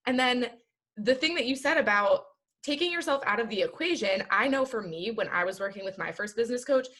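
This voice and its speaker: A woman's voice: on the high side, lively intonation, brisk, a bit bright. A woman guest on a podcast talking about her work as an entrepreneur, in an earnest, chatty way with many "like" fillers. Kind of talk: casual interview chat. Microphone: video call.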